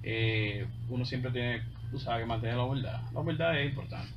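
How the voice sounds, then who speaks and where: A man's voice: medium pitch, fairly quick, dull audio. Dominican guy chatting on radio, far from the mic, lots of noise, emphatic street talk. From Dominican Republic. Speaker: bachata musician, radio guest. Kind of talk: casual radio interview talk. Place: small radio studio.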